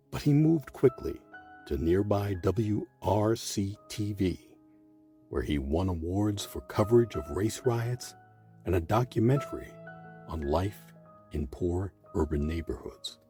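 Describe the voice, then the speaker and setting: A man's voice: deep voice, warm tone. A man hosting and narrating a scripted history documentary. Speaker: host and narrator.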